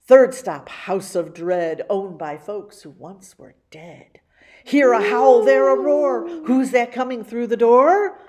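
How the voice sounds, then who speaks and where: A woman reading a picture book aloud as a story-time host, with theatrical, animated delivery. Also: on the high side, slow pace. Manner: theatrical, with exclamations and spooky sound effects. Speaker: library story-time reader.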